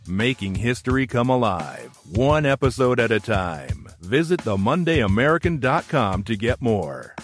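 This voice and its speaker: Lively man reading a podcast promo over music. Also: mid-range voice, normal speed, few pauses.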